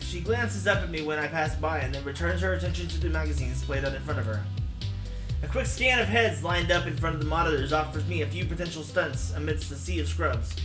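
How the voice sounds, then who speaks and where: A man hosting a let's play, reading a visual novel aloud with casual commentary. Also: fairly high pitch. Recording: roomy echo, game music behind.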